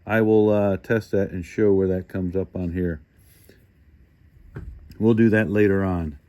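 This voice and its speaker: Low-pitched man, casual gadget walkthrough. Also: steady tone, unhurried, warm tone. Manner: informal, unscripted, thinking aloud. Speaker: host of a hobby radio review channel. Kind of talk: casual hands-on product walkthrough.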